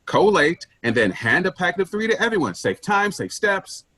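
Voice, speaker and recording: A man plays a callous, impatient boss in a dark stage comedy. His husky, fairly high voice swings widely as he lectures, blunt and full of himself, in a dry close sound. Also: big pitch swings, moderate pace, dry recording, exaggerated voice. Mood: lecturing. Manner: blunt, self-important, lecturing. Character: pushy, penny-pinching male office boss, callous and impatient.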